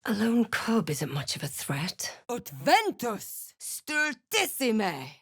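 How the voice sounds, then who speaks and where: A woman playing a snarky, wary female adventurer in a fantasy video game, with a crisp, terse and dramatic delivery. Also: fairly high pitch, wildly swooping pitch, slow pace, fairly bright tone, no echo, studio quality, heavily acted. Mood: forceful incantation. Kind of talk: fantasy video game lines, short remarks and narrated thoughts.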